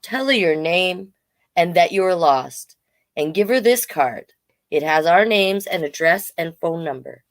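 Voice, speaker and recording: A woman's voice: on the low side, lively intonation, a little slow, dry recording. A woman reading aloud the part of a caring, sensible grown woman in a children's storybook, giving careful instructions. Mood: caring, instructive.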